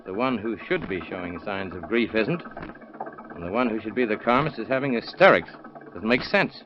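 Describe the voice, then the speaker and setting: Actor as a low-voiced, shrewd investigator. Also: male voice, expressive, normal speed, background noise, natural voice. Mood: skeptical. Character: shrewd, dry male investigator, skeptical and methodical. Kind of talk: 1930s radio acting. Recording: muffled vintage radio recording.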